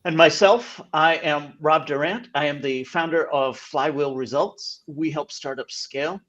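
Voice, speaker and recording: A man's voice: on the high side, measured pace, fairly bright tone. A man hosting a business talk show, reading a polished introduction and questioning his guests over a video call. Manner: upbeat, polished announcer delivery. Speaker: podcast host. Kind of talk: scripted show introduction and interviewing. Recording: dull lo-fi online call audio.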